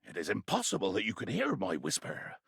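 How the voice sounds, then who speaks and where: An actor playing a grandiose, bloodthirsty pirate berserker in a video game, with a gravelly voice and florid, bombastic old seafaring speech. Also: male voice, fairly low pitch, huge pitch swings, brisk, no echo, clean studio sound, heavily acted. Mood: scheming, gloating. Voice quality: gravelly, raspy. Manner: bombastic, florid archaic pirate diction, erupting into shouts. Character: ranting, grandiose, bloodthirsty male pirate berserker, comically menacing. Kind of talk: theatrical video game character acting.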